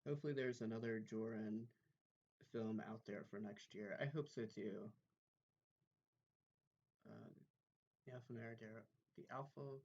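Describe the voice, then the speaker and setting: A man's voice: lowish voice, lively intonation, moderate pace, long pauses, darkish tone. Man chatting casually on stream, dull audio. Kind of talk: casual livestream chat.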